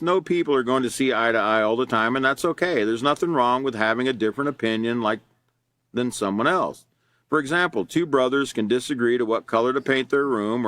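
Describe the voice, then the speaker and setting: Loud, earnest man teaching a class. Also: mid-range voice, dry recording. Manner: loud, earnest, with many fillers and restarts. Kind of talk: reading and explaining a lesson live.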